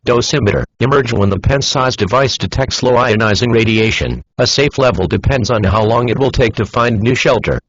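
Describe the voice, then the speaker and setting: Husky synthetic male emergency alert announcer. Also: medium pitch, animated, a little fast, few pauses, fairly bright tone, no echo. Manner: formal, instructional. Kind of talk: scripted emergency broadcast announcement. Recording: dull lo-fi emergency broadcast audio.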